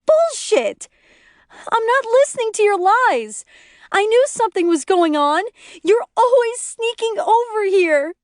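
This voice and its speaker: Casting: female narrator as hysterical jealous young wife, very high and shrill, melodramatic, accusing, audiobook drama. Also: huge pitch swings, moderate pace, hardly any pauses, a bit bright, no echo, clean studio sound. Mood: screaming, hysterical. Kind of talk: acted audiobook dialogue.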